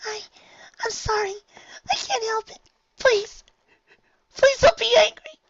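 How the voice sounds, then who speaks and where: A reader voicing a timid, tearful young mare who whimpers and stammers in a very high voice. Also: slow pace, a bit bright, highs cut off, heavily acted. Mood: frightened.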